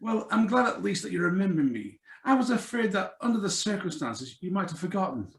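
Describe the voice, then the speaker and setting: An actor plays a sardonic ex-husband turned blackmailer, polite but menacing. His delivery is lively and varied in pitch. The room echoes around him as he performs in old radio suspense style. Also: fairly quick. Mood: smooth menace. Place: remote live reading over video call. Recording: roomy echo over video call.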